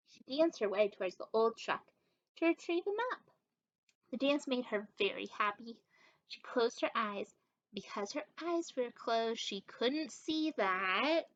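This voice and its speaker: High, animated young woman reading a storybook. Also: unhurried, highs cut off. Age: young adult. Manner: expressive, addressing young children. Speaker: story time reader. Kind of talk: children's picture book read-aloud. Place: living room indoors.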